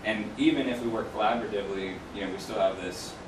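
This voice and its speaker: A man with a very low voice speaks informally to a small class, correcting himself as he goes. He is recorded from across an echoey room, with clear noise behind him. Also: quick pace. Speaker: guest speaker, UX researcher. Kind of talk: informal career talk to a class, answering questions. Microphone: distant camera microphone. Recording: roomy, distant mic, clear background noise.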